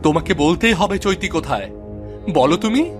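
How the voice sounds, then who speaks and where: A man's voice: a little high, lively intonation, normal speed, music in the background, natural voice. A man voicing a stubborn, eager young man in a Bengali cartoon story. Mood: pleading, insistent.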